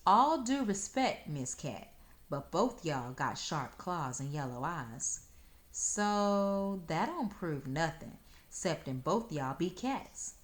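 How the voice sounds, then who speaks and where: A woman's voice: on the low side, sing-song, slow. Woman as a sassy, unimpressed little country girl. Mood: unconvinced. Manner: matter-of-fact teasing in rural dialect.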